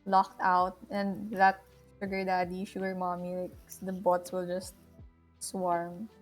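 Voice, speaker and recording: A woman's voice: lowish voice, completely flat delivery, a little slow, low-quality recording. A woman chatting casually as she live-streams a game. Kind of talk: casual live-stream chat while gaming. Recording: game music behind the voice.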